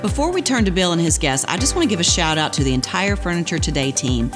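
A woman's voice: lowish voice, animated, fast talker. A woman reading a scripted podcast introduction in an upbeat manner. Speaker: guest announcer. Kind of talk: scripted promo read. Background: music bed.

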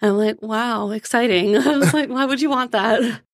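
Giggly woman co-host with creaky voice. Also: a little high, normal speed, dry recording, clean studio sound. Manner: giggly, laughs often. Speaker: podcast co-host. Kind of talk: casual film recap chat with narrated plot summary.

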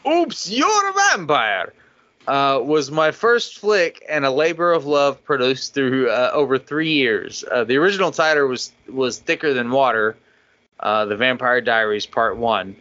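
Bright-toned man reading podcast emails. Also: mid-range voice, expressive, measured pace. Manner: laughs often while reading, loose and chatty. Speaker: podcast co-host reading listener feedback. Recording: dull lo-fi podcast audio.

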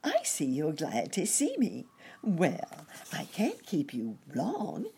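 A woman voicing a jolly, carefree vagabond gentleman cricket in a storybook, chirping along cheerfully. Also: sits low, wildly swooping pitch, slow, gravelly, slight background noise, pitched above the performer's own voice, exaggerated voice.

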